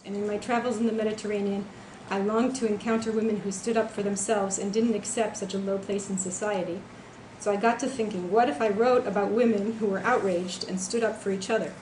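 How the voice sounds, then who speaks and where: A woman's voice: mid-range voice, fairly even intonation, brisk, darkish tone. A woman author reading aloud from her own novel in a literary style. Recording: echoey room, faint background noise.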